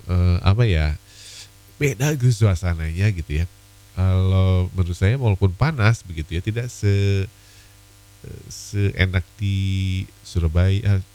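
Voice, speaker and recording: Hoarse low-voiced man DJ with a cold. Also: dark tone. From Indonesia. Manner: chatty, friendly, many fillers and asides. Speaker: radio DJ. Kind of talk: evening radio request show.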